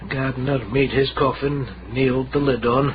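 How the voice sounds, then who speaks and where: An actor playing a weary, kindly old Scottish coffin maker in a radio drama, with halting, wryly morbid talk. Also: male voice, mid-range voice, little pitch movement, lightly acted. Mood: admiring, amused. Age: older. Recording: dull, noisy radio drama recording.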